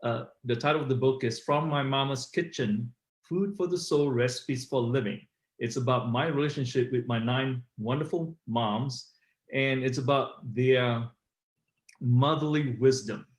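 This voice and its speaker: Middle-aged man from Malaysia, guest author, talks in loose halting stretches, roomy echo. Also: medium pitch. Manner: rambling with many uh fillers. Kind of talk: conversational interview.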